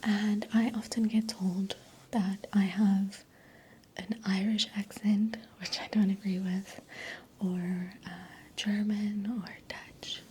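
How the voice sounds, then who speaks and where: A South African woman whose first language is Afrikaans, speaking an ASMR voiceover in a soft, gentle, unvoiced whisper into a close mic that moves from ear to ear. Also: mid-range voice, level tone, takes it slowly, a little hiss or hum. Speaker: ASMR creator. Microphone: close sensitive mic, sounds moving ear to ear.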